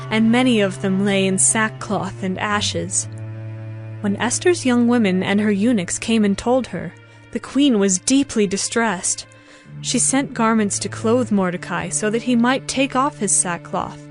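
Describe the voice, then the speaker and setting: A woman narrator reading scripted Bible narration in a measured, solemn storytelling manner. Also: mid-range voice.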